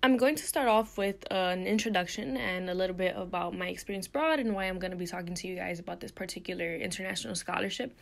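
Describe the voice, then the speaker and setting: A woman's voice: on the low side, fast talker, gravelly, few pauses. A young woman from Puerto Rico giving a recorded slide presentation as a student presenter, earnest and explanatory, with frequent ums and uhs. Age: young adult.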